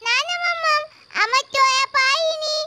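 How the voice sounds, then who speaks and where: A woman's voice: extremely high pitch, normal speed, a little hiss or hum, pitched above the performer's own voice, full character voice. A woman voicing a whiny, cute little doll girl in a children's puppet story, with childish lisping baby talk. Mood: plaintive. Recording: loud children's music behind the voice.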